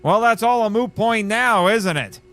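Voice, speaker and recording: A man's voice: high-pitched, lively intonation, normal speed, a bit bright, big-room sound. A man playing a weary, sardonic radio host in an audio drama, dry and dramatic, switching between first-person narration and dialogue. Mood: dismissive. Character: sardonic, weary young male radio host who survived a crash.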